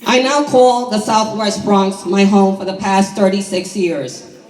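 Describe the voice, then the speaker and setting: A hoarse woman in her sixties from New York City gives an emphatic, deliberate prepared speech at a community meeting. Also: mid-range voice, darkish tone. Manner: emphatic, deliberate rhetorical delivery. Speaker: community housing activist. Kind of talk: prepared public speech at a town hall. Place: large indoor community hall. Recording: echoey hall, distant microphone.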